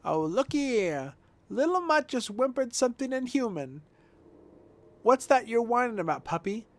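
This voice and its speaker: A man's voice: extremely high pitch, sing-song, moderate pace, voice pushed up, heavily acted. A man voicing a brash, indignant boy who leads a street gang in a video game, slangy and blustering. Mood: mocking. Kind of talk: video game dialogue read aloud.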